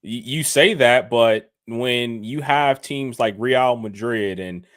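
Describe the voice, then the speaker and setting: A man's voice: mid-range voice, fairly bright tone, dry recording. Dry-sounding man explaining sports news. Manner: measured, explaining, some restarts. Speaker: podcast co-host. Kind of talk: casual sports chat.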